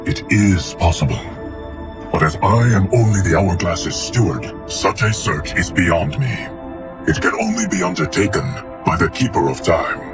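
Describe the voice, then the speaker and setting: A very deep-voiced, ancient male steward in a video game cutscene. He speaks formally and with measured solemnity, steadfast and loyal. Music plays clearly behind him. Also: animated. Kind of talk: video game cinematic acting.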